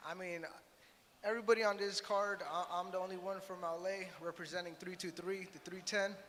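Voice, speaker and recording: High, flat-voiced young boxer. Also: male voice, brisk. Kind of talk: press conference answer. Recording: distant, reverberant hall with faint noise.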